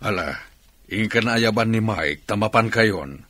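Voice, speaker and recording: A man playing a kind, considerate middle-aged boss in a radio drama, expressive and concerned, with an Ilocano accent. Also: on the low side, measured pace, no room sound. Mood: gentle, concerned.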